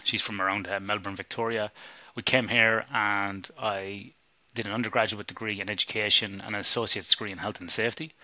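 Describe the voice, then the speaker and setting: A man's voice: on the low side, flat delivery, fairly quick, bright, forceful tone, no room sound. A middle-aged Irish man, a sleep researcher, chatting casually as a podcast guest, swearing and laughing easily. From Ireland.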